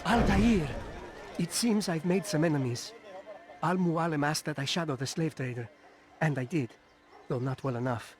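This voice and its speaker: An actor playing an anxious assassin spy on the run in a video game, urgent and pleading. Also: male voice, on the high side, normal speed, natural voice.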